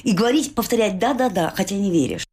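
A woman interviewer speaking earnestly, leaning slowly and heavily on her key phrases. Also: medium pitch, sing-song, a little mellow, breathy edge. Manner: earnest, emphatic, slow and weighty on key phrases. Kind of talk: interview questions and commentary.

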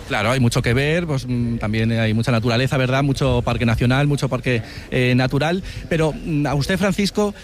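A man's voice: medium pitch, fairly quick. A man with a Spanish accent doing a chatty live radio interview as a roving reporter outdoors in a city square. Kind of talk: live vox-pop interview. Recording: live outdoor location with background noise.

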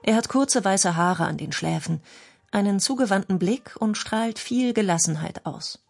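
A woman's voice: mid-range voice, lively intonation, moderate pace, vocal fry, faint music behind. A woman reads a scripted documentary narration as a radio feature narrator.

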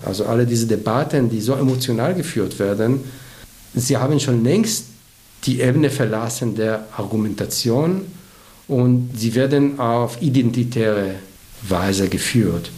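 A man's voice: mid-range voice, a little slow, a little mellow. A man, an institution director, giving a thoughtful, analytical interview statement.

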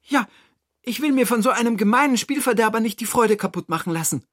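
A man's voice: extremely high pitch, expressive, quick pace, a bit bright, no room sound, clean studio sound, voice pushed up, exaggerated voice. A man voicing a sensitive, hurt young child in a children's story, with a plaintive, whiny manner. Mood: relieved.

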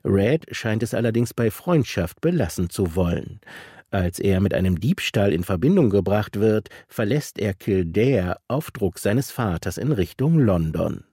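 Lively low-voiced man narrating a feature. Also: a little slow, breathy edge, dry recording, clean studio sound. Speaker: culture reporter.

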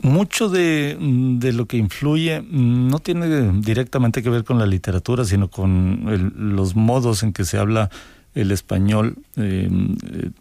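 A man from northern Mexico with a Mexican accent, a writer answering interview questions thoughtfully, pausing and restarting often. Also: medium pitch, warm tone, dry recording. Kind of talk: conversational interview.

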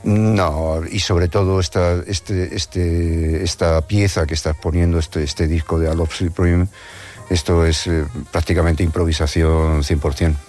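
Deep-voiced man from Madrid, halting. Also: flat delivery, a little mellow, soft background music. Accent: Spanish from Madrid. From Madrid, Spain. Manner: thoughtful, halting, many restarts. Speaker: musician guest. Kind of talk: radio interview.

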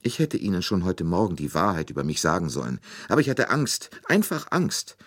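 A man voicing a grief-stricken young woman who is crying, her voice high and breaking as she sobs. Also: very animated, normal speed, a bit bright, breathy edge, no echo, clean studio sound, full character voice. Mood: tearful. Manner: high, strained and sobbing, with the voice breaking.

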